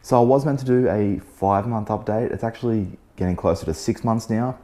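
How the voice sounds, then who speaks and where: Hoarse man with a low, dark voice. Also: brisk. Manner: relaxed, chatty, frequent "um" and "so yeah". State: losing his voice, a bit hoarse. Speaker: car detailing channel host. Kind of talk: casual product-test vlog narration. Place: outdoors beside a test panel.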